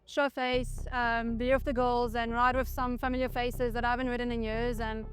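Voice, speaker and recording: A woman's voice: fairly high pitch, level tone, moderate pace, faint music behind. A woman cyclist speaking earnestly and reflectively in a post-race interview. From South Africa. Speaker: racing cyclist.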